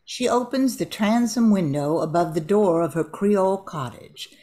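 A woman's voice: mid-range voice, moderate pace, dark tone. An older woman reading scripted narration for an amateur radio play.

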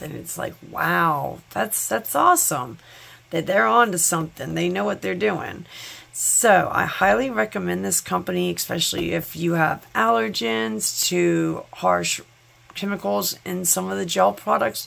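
A woman's voice: lowish voice, gravelly, a bit bright. A woman hosting her nail channel, congested from a cold, chatting effusively and thanking her viewers while she unboxes products at home. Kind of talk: sponsored unboxing vlog. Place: home nail studio, table top.

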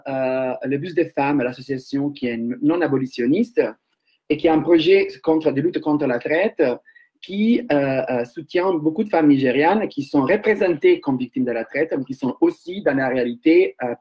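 A man in his forties from Italy, speaking as a researcher and filmmaker on a round-table panel, hesitant with many pauses and restarts, over a webcam video call from home. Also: fairly high pitch, a little mellow, breathy edge. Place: room at home.

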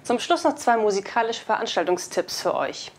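A woman's voice: on the high side, lively intonation, moderate pace. A young woman reading scripted news as a video presenter, on a lapel mic. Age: young adult.

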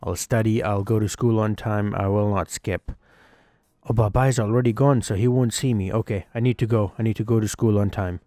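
A man's voice: on the low side, steady tone, warm tone, dry recording, close to an everyday voice. Man playing an earnest schoolboy cricketer, dry sound. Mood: excited, anxious. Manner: earnest, conversational, emphatic with pauses. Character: excitable young schoolboy cricketer confiding his family story. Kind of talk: first-person dramatised narration over game cutscenes.

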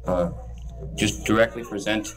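Lowish casual man explaining. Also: steady tone, moderate pace, crowd noise. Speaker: book distributor. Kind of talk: explanatory commentary.

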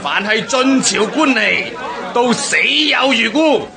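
An actor playing a boastful, bloodthirsty rebel warlord in a Cantonese historical radio drama, declaiming and commanding with a Hong Kong accent. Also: male voice, very high-pitched, expressive, slow pace, crowd noise, heavily acted. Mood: gloating, commanding. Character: boastful, bloodthirsty male rebel warlord rallying his followers.

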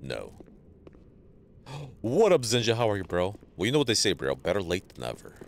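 A man streaming a game live, chatting casually with viewers and greeting them by name, sounding a little stuffy from allergies, on a boom mic. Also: moderate pace, gravelly, slight background noise. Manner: greets chat viewers, relaxed banter. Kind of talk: casual live gameplay chat.

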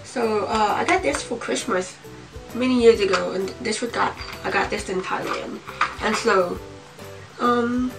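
A young woman of about eighteen with a slight cold, talking casually and haltingly to camera as she shows things in a room at home. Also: medium pitch. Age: young adult, about 18. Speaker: vlogger. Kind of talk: casual show-and-tell to camera. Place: small home room, indoors. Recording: distant mic, music behind.

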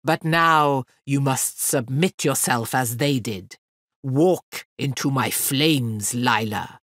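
A woman voicing a huge, mocking male fire fiend in a storybook reading, slow and booming. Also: a bit bright, no echo, clean studio sound, heavily acted. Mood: commanding.

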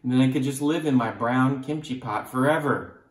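A man in his thirties, a children's author chatting to kids in an animated storytelling style. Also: medium pitch, normal speed, reverberant.

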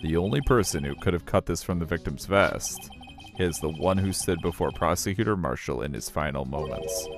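A man as a deep, formal prosecutor. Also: animated, normal speed, music in the background. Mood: accusing.

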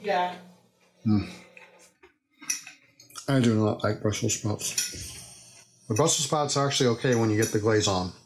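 A man's voice: fairly low pitch, unhurried, long pauses, soft background music, poor audio. Casual man reviewing food at home. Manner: relaxed, chatty, trailing off quietly. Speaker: show host. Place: home kitchen.

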